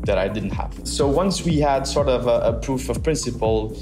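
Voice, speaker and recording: A young man from the south of Portugal, a founder answering interview questions thoughtfully with many pauses and restarts. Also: medium pitch. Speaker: startup founder, guest. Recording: roomy sound with music bed.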